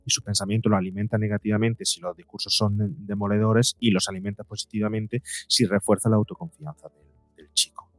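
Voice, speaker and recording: A man's voice: on the low side, steady tone, fairly quick, no room sound, soft background music. Spanish man explaining, in a dry close recording. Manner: explains with examples and repeats words for emphasis. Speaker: psychologist and podcaster, interview guest. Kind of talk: explanatory conversational interview talk.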